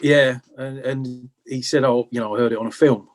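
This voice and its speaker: A middle-aged man talks casually as a guest in an interview. His pitch stays fairly flat, and his delivery is low-key and hesitant, piecing thoughts together as he speaks. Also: normal speed. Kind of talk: casual chat.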